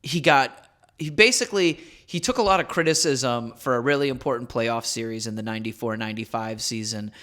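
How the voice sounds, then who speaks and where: A man's voice: medium pitch, fairly quick, fairly bright tone. Laid-back man recapping a story. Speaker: podcast co-host telling the story. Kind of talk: casual podcast chat with storytelling.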